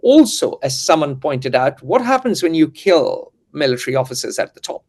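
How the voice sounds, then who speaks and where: A man's voice: on the high side, sing-song, normal speed, darkish tone. A man hosting a geopolitical discussion, lecturing and full of historical asides. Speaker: host and editor.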